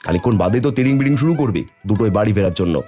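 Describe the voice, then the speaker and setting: A man voicing an honest, good-natured sari seller and father, speaking earnestly in an animated moral story. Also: fairly low pitch, racing, faint music behind, natural voice. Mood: kindly.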